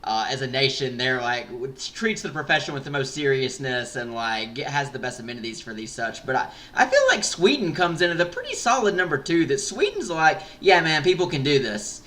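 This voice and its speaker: A man co-hosting an esports podcast, talking in an excitable, animated way with lots of fillers and restarts. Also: medium pitch, a little fast, few pauses. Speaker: podcast co-host. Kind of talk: casual esports discussion.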